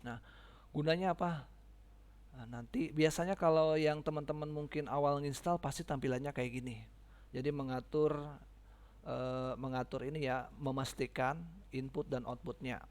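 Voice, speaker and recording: A man giving a casual, informal step-by-step software tutorial, often checking in with his listeners. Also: mid-range voice, steady tone, moderate pace.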